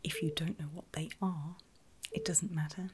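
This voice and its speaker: A woman speaking in a breathy whisper, gently reassuring and encouraging as she plays a clinician in an ASMR medical roleplay. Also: fairly low pitch, wildly swooping pitch, moderate pace, noisy background. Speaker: ASMR performer playing a surgical clinician.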